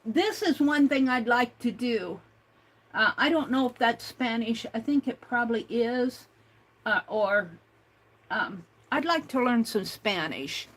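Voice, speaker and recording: Slow, chatty woman, high voice. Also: lively intonation, fairly bright tone, poor audio. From United States. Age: older. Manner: slow, chatty, with many fillers and restarts. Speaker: art livestream host. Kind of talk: casual livestream chat reading comments.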